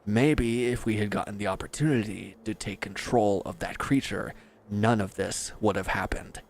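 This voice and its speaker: A man's voice: on the low side, moderate pace, natural voice, rough recording. A man playing a bitter, wounded villain who is grieving and accusing, speaking with weary sarcasm in a scripted audio roleplay addressed to the listener. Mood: sardonic, accusing.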